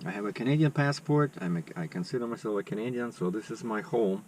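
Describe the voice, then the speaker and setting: A man's voice: mid-range voice, animated, fast, darkish tone. A man, chatting casually to camera and rambling with lots of fillers. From Russia. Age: 50s. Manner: rambling, conversational, with many fillers. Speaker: vlogger. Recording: slightly distant mic with faint background noise.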